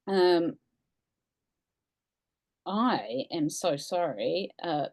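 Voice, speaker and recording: A woman's voice: on the low side, lively intonation, drawn-out words, darkish tone. A woman with a New Zealand accent introducing a guest speaker at a museum talk over a video call, polite and formal, with frequent ums and uhs.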